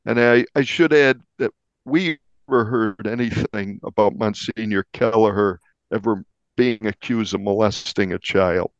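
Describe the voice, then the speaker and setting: An American man with a fairly low voice recounts events as an interviewed reporter. He talks carefully and a little haltingly, on a dry, slightly muffled recording. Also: no room sound. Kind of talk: conversational recounting of a crime story.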